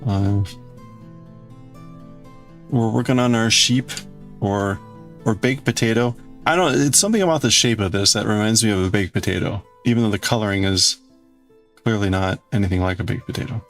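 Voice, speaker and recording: A man's voice: lowish voice, normal speed, pauses often, fairly bright tone, faint music behind. A man hosting a casual livestream, chatting in a rambling, exclamatory way as he greets and answers viewers. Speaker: livestream host. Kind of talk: casual livestream chat, crafting and reading out viewer chat.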